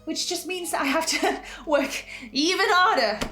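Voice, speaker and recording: A woman's voice: very high-pitched, measured pace, sharp tone, faint music behind. A woman narrating a scripted analysis as host, speaking earnestly and theatrically with precise wording. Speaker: host of a fan theory video series.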